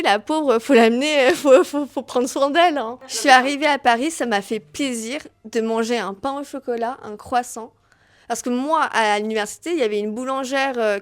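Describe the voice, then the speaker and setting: A woman's voice: on the high side, clear, resonant tone. A young woman with a light American accent, telling her own story on a solo podcast, chatty and full of hesitations. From raised between Tahiti, Cuba and New York. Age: young adult. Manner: chatty, many hesitations and restarts. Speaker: podcast host.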